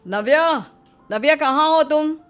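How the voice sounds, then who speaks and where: A woman plays a young husband from a cartoon tale. He is weary and full of complaints, speaking in a plaintive, rather high voice over light music. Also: a little slow, a bit bright. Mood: exasperated. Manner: plaintive, grumbling.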